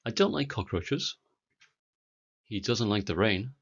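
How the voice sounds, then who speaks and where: A British man in his forties teaching a beginner English lesson, speaking clearly and deliberately for learners. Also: lowish voice, expressive, highs cut off. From Britain. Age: 40s.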